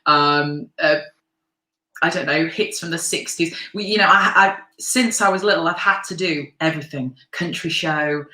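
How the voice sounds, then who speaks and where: A deep-voiced English woman talks freely as an interview guest, her tone bright and forceful despite the low register. She laughs easily and restarts her sentences as she goes. The recording carries a noticeable roomy echo. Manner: chatty, laughs often, many restarts. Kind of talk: conversational interview.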